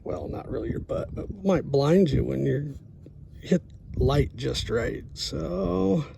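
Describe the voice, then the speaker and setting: A middle-aged man talking through a DIY job in a casual, halting, plain-spoken way, thinking out loud. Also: fairly high pitch, lively intonation, gravelly, background noise. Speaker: truck driver, DIY vlogger.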